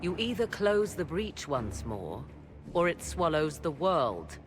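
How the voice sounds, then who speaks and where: A woman playing a proud, guarded sorceress in a fantasy game, with a measured, formal and brooding manner. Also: deep voice, big pitch swings, over music, heavily acted. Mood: resolute. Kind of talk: dramatic fantasy game acting. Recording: clear noise, ambience behind voice.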